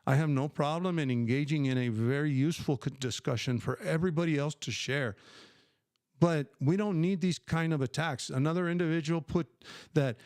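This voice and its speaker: A man's voice: on the high side, brisk, darkish tone, no echo, clean studio sound. Emphatic older man hosting a live Q&A. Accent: New Mexican Spanish when speaking Spanish. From New Mexico, USA. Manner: emphatic, rambling, many fillers and restarts. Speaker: livestream host. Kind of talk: casual live Q&A stream.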